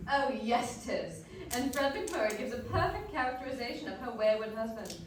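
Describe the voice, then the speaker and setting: A woman performs as a fashion-loving radio columnist of the 1940s. The voice sits a little high, with chatty, scripted salesmanship. It sounds far from the mic in a very reverberant hall with faint background noise. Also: very animated, brisk. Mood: chatty, enthusiastic. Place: live stage performance in a dance hall.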